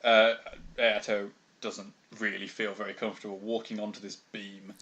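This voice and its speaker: A man's voice: lowish voice, level tone, moderate pace, lo-fi sound, crowd noise. A British man co-hosting a podcast, talking in a dry, wry and rambling way with lots of fillers. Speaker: podcast co-host. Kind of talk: casual anime discussion.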